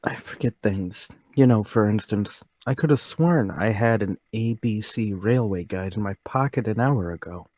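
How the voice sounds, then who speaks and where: A man playing a troubled, forgetful older gentleman in a radio mystery drama, speaking politely and haltingly. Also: fairly low pitch, no room sound, close to an everyday voice. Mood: troubled, confused. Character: troubled, forgetful, soft-spoken older gentleman, haunted and deliberate.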